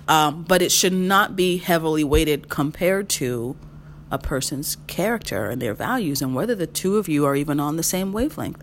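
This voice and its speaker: A woman in her mid-thirties hosting a solo podcast, giving emphatic advice, repeating her key phrases and swinging her pitch when she makes a point. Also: a little hiss or hum. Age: 30s. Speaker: podcast host.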